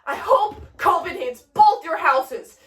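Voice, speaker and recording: In an echoey room, away from the mic, a woman plays a cocky young man spoiling for a fight. The voice is high and loud, declaiming with slangy melodrama like homemade theatre. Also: expressive, takes it slowly, exaggerated voice. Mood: wounded, bitter. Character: Mercutio, cocky taunting young male brawler, melodramatic when wounded. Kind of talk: amateur retelling of a stage tragedy. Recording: echoey room, distant microphone.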